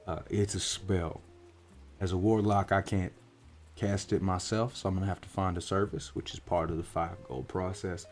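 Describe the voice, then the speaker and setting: A man voicing a gruff, streetwise orc warlock private eye with a leathery voice and an American country accent, in a folksy, reassuring roleplay. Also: sits low, darkish tone. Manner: folksy, matter-of-fact, reassuring. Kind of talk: soft-spoken ASMR roleplay.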